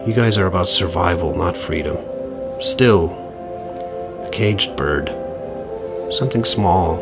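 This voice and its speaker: An actor playing a middle-aged divorcing suburban dad in a podcast drama, profane, sardonic and rambling. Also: male voice, low voice, slow, over loud music. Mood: wistful, rueful. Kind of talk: podcast audio drama acting.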